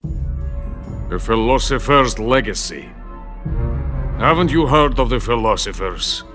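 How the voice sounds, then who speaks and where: An actor playing a bitter, boastful older Soviet weapons scientist who is drunk and rambles theatrically in a video game. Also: male voice, medium pitch, lively intonation, slow, music in the background. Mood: agitated explaining. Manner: grandiose, self-pitying, theatrical rambling. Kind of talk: dramatic video game voice acting.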